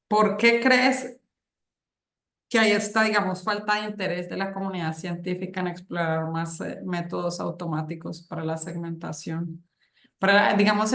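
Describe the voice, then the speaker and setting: A woman on a thesis jury asking questions, hesitant and full of fillers and restarts. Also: fairly low pitch. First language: Spanish. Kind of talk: academic questioning at a thesis defense. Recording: echoey room.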